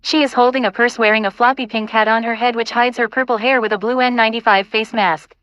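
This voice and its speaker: A woman's voice: a little high, fairly even intonation, fast talker, fairly bright tone, no echo, muffled recording. A woman reading scene directions as the scripted narrator of an audio play.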